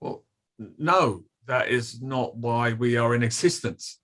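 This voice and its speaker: An actor playing a defensive, evasive company safety official testifying at an inquiry in a play reading, formal and guarded. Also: male voice, medium pitch, lively intonation, unhurried, fairly bright tone. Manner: guarded, formal, clipped denials with hesitant hedging. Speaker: actor in an online table read.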